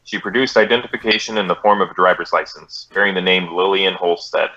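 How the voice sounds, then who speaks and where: A man playing a polite, by-the-book police detective with a deadpan, clipped delivery in a radio drama. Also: deep voice, fast talker, dull audio. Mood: stoic, firm. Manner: deadpan, clipped, matter-of-fact.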